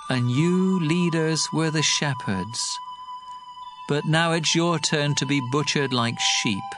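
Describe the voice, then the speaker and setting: An actor playing the prophet Jeremiah, a grave and earnest man, delivering a scripture audio drama in a measured way. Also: mid-range voice, close to an everyday voice. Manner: grave, measured reading of warnings. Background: soft music.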